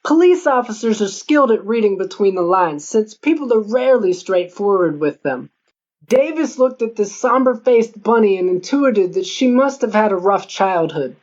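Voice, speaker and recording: A woman's voice: medium pitch, animated, normal speed, a little mellow. A woman reading a first-person novel aloud as an audiobook narrator. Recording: dull lo-fi audio.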